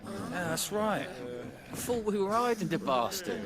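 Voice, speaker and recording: A man's voice: high voice, big pitch swings, unhurried, a loud crowd. An actor playing a blunt, crude male villager in a game cutscene. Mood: rueful.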